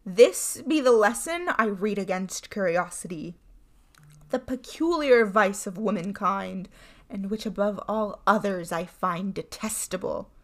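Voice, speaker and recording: A woman voicing Bluebeard, a cruel nobleman who bawls his threats loudly, in a storybook reading. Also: medium pitch, expressive, measured pace. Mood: cold threatening. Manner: loud and bawling.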